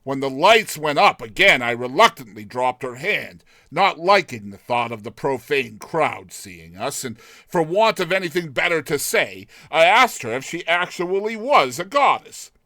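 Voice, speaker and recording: A man acts out a lovestruck young man from a classic storybook, effusive and impatient. The voice is fairly high and swings widely in pitch. Words come with bright, dramatic emphasis. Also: big pitch swings, a little slow, hardly any pauses, no room sound, studio quality, heavily acted. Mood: rapturous, insistent. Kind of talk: dramatized short story reading.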